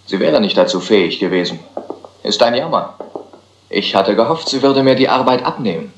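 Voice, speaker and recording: An actor playing a cold, suave, scheming murderer with a sardonic manner in a crime drama. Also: male voice, medium pitch, expressive, moderate pace, natural voice. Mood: smug, cold. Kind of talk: German-dubbed crime film acting. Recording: roomy echo, music underneath.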